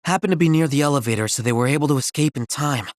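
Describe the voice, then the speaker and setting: A man's voice: fairly high pitch, rapid-fire, no echo, clean studio sound, lightly acted. A man playing a friendly, earnest town local in a video game. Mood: concerned. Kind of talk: video game character acting.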